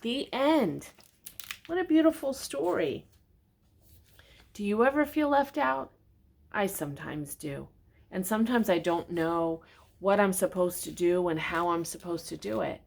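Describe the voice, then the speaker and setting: An older woman reading a children's storybook aloud in a gentle, expressive way, often speaking directly to her young listeners with questions. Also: mid-range voice, unhurried, vocal fry, pauses often.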